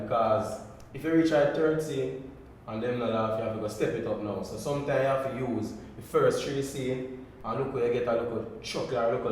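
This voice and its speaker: A man with a Jamaican accent chatting casually in Patois as a pantomime actor on a group podcast. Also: mid-range voice. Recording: very echoey room, distant mic, faint noise.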